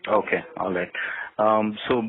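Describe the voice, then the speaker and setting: Deep voiced guy interviewing someone on a call, halting, pauses a lot between bits. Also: big pitch swings, gravelly, narrow, tinny audio. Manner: halting, hesitant phrasing with frequent pauses. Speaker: technical job interviewer. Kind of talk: spoken interview questioning over the phone. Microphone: phone or call audio.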